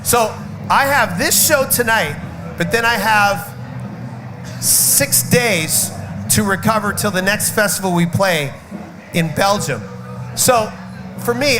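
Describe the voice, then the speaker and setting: A man's voice: sits high, background noise. A man who sings in a rock band, talking casually and emphatically, with a slight cough and cold. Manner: outspoken, emphatic, swears. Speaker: guest rock singer. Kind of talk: casual festival chat. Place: festival backstage area. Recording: loud music behind.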